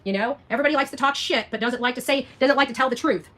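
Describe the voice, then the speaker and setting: Female livestream host, high and sharp-voiced, argumentative, emphatic, repetitive, addressing commenters directly. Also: very fast. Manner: combative, emphatic, repeats phrases and rhetorical questions. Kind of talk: unscripted livestream rant, argumentative.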